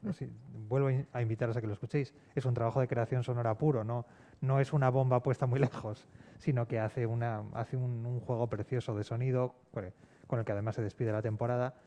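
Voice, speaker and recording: Male panel guest, scriptwriter, Spanish accent from Spain. Relaxed, soft-edged voice, informal unscripted delivery. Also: medium pitch, quick pace.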